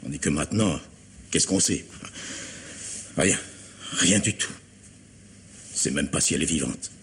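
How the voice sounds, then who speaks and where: An actor playing an anxious, defensive middle-aged factory owner in a French radio mystery, speaking formally with agitated hesitation in a husky voice. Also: male voice, extremely low pitch, measured pace, noisy background. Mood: worried, despondent.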